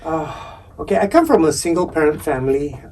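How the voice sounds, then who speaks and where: A man's voice: a little high, sing-song, brisk, warm tone, far from the mic, slight background noise, poor audio. A middle-aged man from Singapore with a Singaporean English accent, a podcast guest talking candidly and wryly about his past. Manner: candid, wry storyteller. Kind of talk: casual interview chat.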